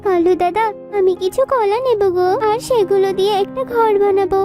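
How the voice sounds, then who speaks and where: A woman's voice: very high voice, steady tone, brisk, over loud music. A woman voicing a devoted young daughter bird, plaintive and earnest, in a children's cartoon melodrama. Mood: earnest, resolved.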